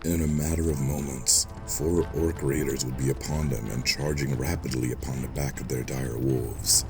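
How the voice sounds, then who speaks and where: A man giving scripted, dramatic narration as the storyteller of an audio drama. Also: rumbling low.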